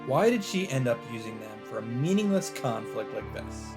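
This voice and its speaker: A man with a mid-pitched voice narrates a visual novel aloud for a let's play. His delivery is dramatic and expressive, with deliberate phrasing and weighted pauses. Music plays softly behind him. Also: vocal fry. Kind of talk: dramatic narration read aloud from on-screen text. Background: background music.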